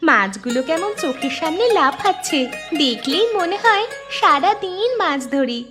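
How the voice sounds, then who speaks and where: A very high-pitched little cartoon girl played by a woman, stubborn and out for revenge. She speaks emphatically and defiantly, with light music underneath. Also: animated, moderate pace. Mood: delighted. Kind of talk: children's cartoon dialogue.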